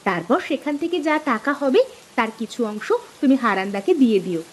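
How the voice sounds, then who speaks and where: A woman playing an earnest, practical village farmer's wife in an acted story, with expressive delivery. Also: fairly high pitch, brisk, slightly rough. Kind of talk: acted Bengali moral story dialogue.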